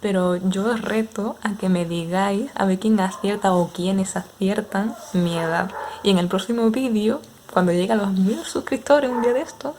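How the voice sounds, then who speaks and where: Unhurried Andalusian woman chatting. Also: medium pitch, darkish tone, a little hiss or hum. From Andalusia, Spain. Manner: unhurried, hesitant with frequent fillers. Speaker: ASMR creator. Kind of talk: casual Q&A chat with viewers.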